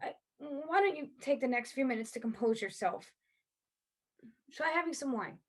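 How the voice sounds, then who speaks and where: A woman playing a female private investigator, outwardly sympathetic and halting, in a dramatic stage play reading. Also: high voice, lively intonation. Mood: placating. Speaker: actor in a play workshop.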